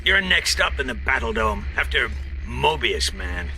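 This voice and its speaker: Brusque, bossy arena handler. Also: male voice, medium pitch, big pitch swings, unhurried, sharp tone, slight background noise, heavily acted. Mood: impatient. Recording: music behind the voice.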